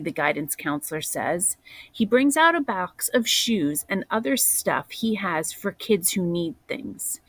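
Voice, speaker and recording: A woman reading a children's picture book aloud in a deliberate way, as a teacher does for young listeners. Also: fairly low pitch, a bit bright, dry recording. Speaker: storybook reader, teacher.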